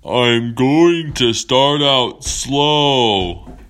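A storyteller voicing a big, friendly, eager blue whale with loud, drawn-out calls in a children's story. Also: medium pitch, voice pushed up.

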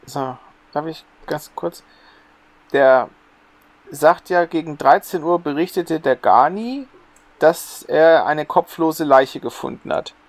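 Male co-host, fairly high voice, conversational, inclined to argue and reason out each point. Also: slow, no room sound. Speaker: podcast co-host. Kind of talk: casual podcast discussion.

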